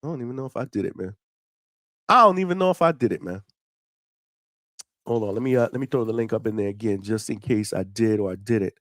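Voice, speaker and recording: A middle-aged man hosting a live boxing call-in stream, chatting casually in hyped, slangy talk with shout-outs and free swearing. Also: on the low side, fast, pauses often, no echo, clean studio sound. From New York City, United States. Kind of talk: casual livestream chat with viewers.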